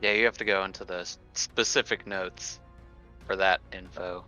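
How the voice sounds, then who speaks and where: Man, tabletop player, fairly low bright voice, clean and crisp, casual chat. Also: fairly even intonation, moderate pace, soft background music.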